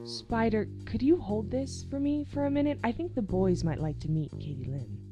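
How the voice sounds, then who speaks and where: A woman's voice: fairly high pitch, animated, moderate pace, over music, close to an everyday voice. A woman playing an effusive, unsettlingly affectionate stranger in a radio play. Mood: gushing, intimate.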